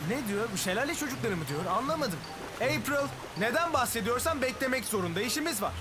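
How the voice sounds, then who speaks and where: A woman's voice: on the high side, expressive, fast talker, close to an everyday voice. A woman voicing a cocky, playful young ninja boy with a teasing, boyish manner in a children's cartoon. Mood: defiant. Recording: music behind. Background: cartoon music.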